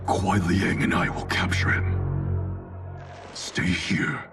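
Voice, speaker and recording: Proud elder-brother clan warrior for a fighting game: very low, unhurried, stern and cold. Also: male voice, flat delivery, vocal fry, recorded from a distance, over music, heavily acted. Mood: cold, resolute. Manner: stern, commanding, measured. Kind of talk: dramatic video game acting.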